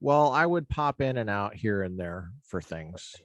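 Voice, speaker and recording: Middle-aged podcast host, low pitch, dry close sound; halting storyteller who likes puns. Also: male voice, expressive, fairly bright tone, dry recording. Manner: halting anecdote, punning jokes. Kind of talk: casual interview conversation.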